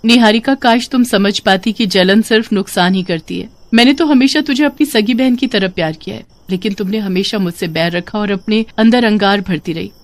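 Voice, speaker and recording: Woman voicing a gentle, forgiving young stepsister. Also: medium pitch, normal speed, hardly any pauses, natural voice. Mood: gentle reproach.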